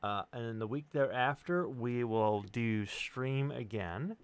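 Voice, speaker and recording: A man's voice: medium pitch, slow pace, clear, resonant tone, dry recording. A middle-aged man hosting a casual livestream, chatting in a rambling, hesitant and joking way. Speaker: stream host and audio drama sound designer. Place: online group stream.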